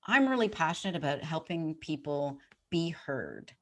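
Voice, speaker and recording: A middle-aged woman with a low voice for a woman, answering interview questions as a communication coach in an articulate, confident way. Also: expressive, normal speed, a bit bright. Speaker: podcast guest, communication coach.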